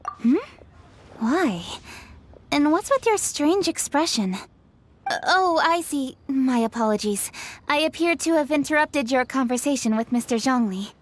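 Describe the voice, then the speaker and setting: A woman's voice: high voice, expressive, unhurried. An actress playing a poised, dutiful young noblewoman official in a video game, speaking in a formal, polite, earnest manner. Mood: puzzled, apologetic.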